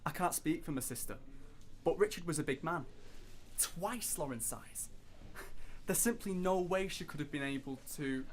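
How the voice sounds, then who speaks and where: A distraught man defends himself and his family in an audio drama, acted out by a woman. The pitch swings widely and the protests are emphatic and halting. There is noise behind the voice. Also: expressive. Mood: anguished, protesting. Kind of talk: dramatic audio scene acting. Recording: clear background noise.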